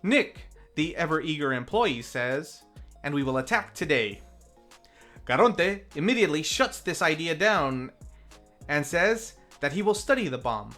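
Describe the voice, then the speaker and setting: Lively wry film recap narrator over faint music. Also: mid-range voice. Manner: wry tongue-in-cheek asides. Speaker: video reviewer. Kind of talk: scripted film recap narration with acted quotes.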